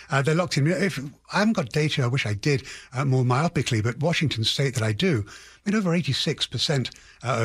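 A man speaking as an expert guest in a radio interview, analysing in a halting way with qualifiers and emphatic restatements. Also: mid-range voice, husky, no room sound. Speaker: housing economist guest.

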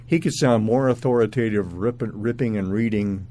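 Male American former DJ, mature, casual interview; mellow lowish voice over audible hum. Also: moderate pace. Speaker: former radio disc jockey, interviewee.

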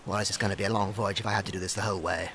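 A man acting a cold, condescending slave-trading ship captain in a radio drama, talking with slow emphasis. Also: low voice, steady tone, creaky, background noise. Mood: impatient, scornful.

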